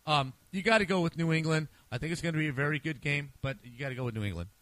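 A man's voice: fairly high pitch, racing, fairly bright tone, dry recording. A man hosting a casual sports picks show, talking in a playful, slangy and sometimes profane way. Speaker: sports podcast host. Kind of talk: casual sports pick banter.

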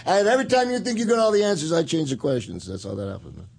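A man's voice: a little high, expressive, very fast, creaky, a little hiss or hum. An older man hosting a podcast, telling swaggering anecdotes in a casual chat with frequent laughter. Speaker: podcast host.

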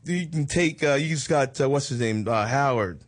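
A man's voice: medium pitch, normal speed. A man hosting a talk show in a casual, conversational style.

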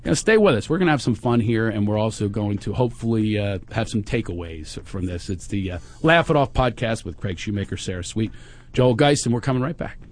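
Podcast host, Philadelphia man, Philly accent, lowish voice, earnest motivational talk. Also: expressive, a little fast. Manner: reflective, motivational, spiritual encouragement.

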